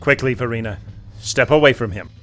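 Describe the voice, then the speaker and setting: An actor playing a hunted mercenary hero in an audio drama, grim, determined and protective, speaking in terse dramatic lines. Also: male voice, mid-range voice, fairly quick, bright, forceful tone, faint music behind, lightly acted. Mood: resolute.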